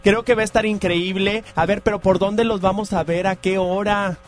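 A man's voice: high-pitched, steady tone, moderate pace. A man co-hosting a radio show, chatty and joking in a casual style. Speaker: radio co-host.

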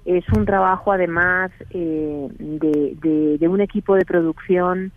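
A middle-aged woman with a Spain Spanish accent answers questions over the phone. Her delivery is earnest and measured, often restarting a phrase before she continues. The sound is thin and tinny, like a phone line, and dry. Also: lowish voice, steady tone, no echo. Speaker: guest, TV production company head. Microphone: telephone.

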